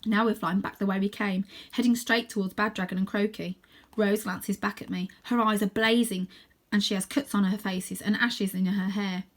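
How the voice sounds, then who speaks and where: A woman narrating a children's story in a dramatic, expressive, suspenseful way with clear emphasis. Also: mid-range voice, normal speed. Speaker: storybook reader, teacher.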